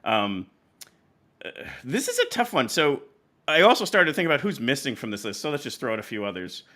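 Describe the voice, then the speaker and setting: A man with a bright, forward voice hosts a casual podcast. His talk rambles and hesitates, doubling back on itself as he goes. The pitch sits in an average male range. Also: wildly swooping pitch, a little fast. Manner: rambling, hesitant, self-interrupting delivery. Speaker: podcast host. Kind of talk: casual chat.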